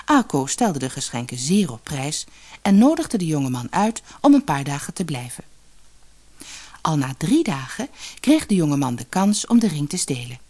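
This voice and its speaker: A woman reading a fairy tale as an audiobook storyteller, expressive and measured. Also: low-pitched, breathy edge. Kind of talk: scripted fairy-tale narration.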